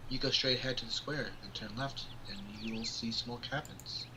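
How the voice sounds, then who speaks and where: A man reading the part of a brisk pioneer girl giving directions in a visual novel, plainly and a bit haltingly. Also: medium pitch, fairly even intonation, background noise, close to an everyday voice. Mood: instructive.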